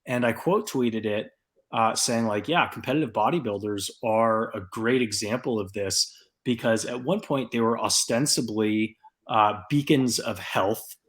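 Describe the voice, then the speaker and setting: A man hosting a podcast in a relaxed, rambling way. He thinks out loud, drops in plenty of ums and likes, and keeps an easygoing tone. His voice sits at an ordinary middle pitch. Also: unhurried. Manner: thinks aloud with fillers, relaxed and reflective. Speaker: podcast host. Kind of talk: casual conversational podcast.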